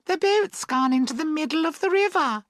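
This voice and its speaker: A scatterbrained young woman from a storybook, in a very high, girlish voice that swoops freely. The talk is innocent and oblivious, with a dry, close sound. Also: sing-song, slow pace, fairly bright tone, dry recording, heavily acted. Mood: surprised. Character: silly, giggly, oblivious young women towing a boat.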